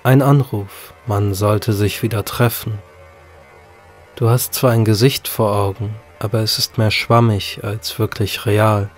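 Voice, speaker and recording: A man's voice: lowish voice, fairly even intonation, a little slow. A man reading a scripted horror story as an audiobook narrator. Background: music.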